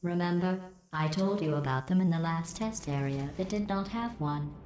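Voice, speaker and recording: A woman voicing a cold, sarcastic female AI overseer in a video game, delivering deadpan, dry insults. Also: fairly low pitch, moderate pace, lightly acted. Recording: heavily echoed game audio with music.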